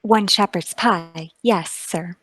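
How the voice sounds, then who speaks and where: A woman's voice: lowish voice, takes it slowly, fairly bright tone, breathy edge, no room sound, pitched below the performer's own voice, exaggerated voice. A woman playing a nervous, deferential young waitress in a radio mystery drama, speaking hesitantly. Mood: timid, deferential.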